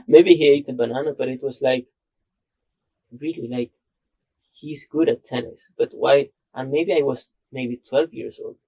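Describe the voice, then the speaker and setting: A man with a Spanish accent rambling through casual podcast chat, peppering his speech with "like." His voice is mellow and a little husky. He sits at a middle pitch. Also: a little slow, low-quality recording. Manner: rambling, with lots of "like".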